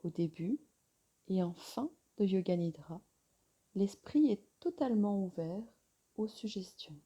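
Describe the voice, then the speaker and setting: A woman yoga teacher guiding a relaxation meditation in a soft, soothing, breathy voice with long pauses. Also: mid-range voice, expressive, highs cut off.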